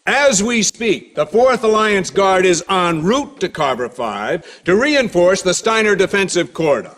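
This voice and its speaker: A man's voice: sits high, very animated, unhurried, a bit bright, full character voice. An actor playing an indignant, theatrical male TV debate host in a game, emphatic and challenging. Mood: combative.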